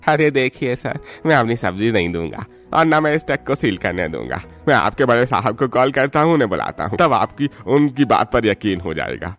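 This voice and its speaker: A man's voice: medium pitch, very animated, a little fast, few pauses, fairly bright tone, soft background music. A man voicing an honest farmhouse uncle who drives a vegetable truck in a cartoon story, earnestly explaining himself. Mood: protesting.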